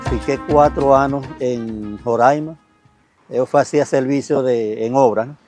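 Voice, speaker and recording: A man's voice: medium pitch, measured pace, music in the background. A man who worked construction, telling his story plainly in a documentary interview. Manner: plain storytelling, quoting others.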